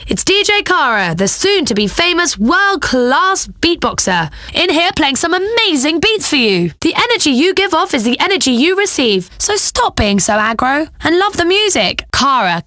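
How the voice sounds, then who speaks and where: A woman voicing a perky, sardonic young pop radio DJ in a video game, breezy and tongue-in-cheek with deadpan asides. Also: high voice, moderate pace, few pauses, dry recording, clean studio sound, heavily acted. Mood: upbeat, wry. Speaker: radio DJ host. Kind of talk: satirical game radio DJ patter.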